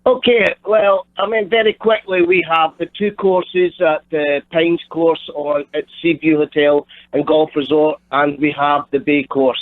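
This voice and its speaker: Scottish charity guest on a phone line, somewhat high voice, explaining carefully. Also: male voice, fairly even intonation, unhurried, few pauses, no echo. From Scotland. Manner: explanatory, repeats words when searching. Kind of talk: radio phone interview.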